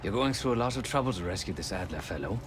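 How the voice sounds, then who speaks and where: An actor playing an East German defector, a former enforcer turned tech expert, with a German accent and a measured, drily polite delivery. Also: male voice, on the low side, animated, background noise, close to an everyday voice. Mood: calm, wry. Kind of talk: video game cutscene acting.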